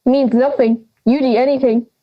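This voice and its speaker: A woman's voice: sits high, steady tone, normal speed, lo-fi sound, natural voice. A young woman playing a cranky, forgetful old granny in an audio drama, brusque, sarcastic and theatrical. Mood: sardonic. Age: young adult.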